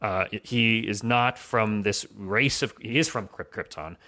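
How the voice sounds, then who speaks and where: Bright, forceful lowish-voiced man. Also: measured pace. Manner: explanatory, often rephrasing with "you know". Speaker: podcast guest. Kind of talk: analytical conversational commentary.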